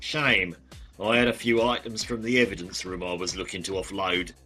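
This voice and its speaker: An actor playing a gruff, crude, cynical male police detective, talking in a dry, sarcastic way. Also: low-pitched, level tone, normal speed, sharp tone, soft background music. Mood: sneering.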